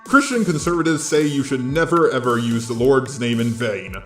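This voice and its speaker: A man reads scripted opinion commentary in a nasally voice with a middling pitch. The sound is roomy, picked up on a gaming headset, with music playing underneath. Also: normal speed, fairly bright tone. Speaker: video commentator.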